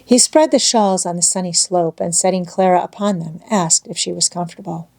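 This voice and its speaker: A woman's voice: lowish voice, fairly quick, gravelly, a little mellow. A woman reading a children's storybook aloud as scripted audiobook narration.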